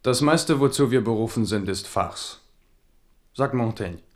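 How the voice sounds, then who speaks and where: A man's voice: mid-range voice, animated, measured pace, lightly acted. An actor playing a wry, pragmatic, philosophical king in a German radio drama. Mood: wry, reflective.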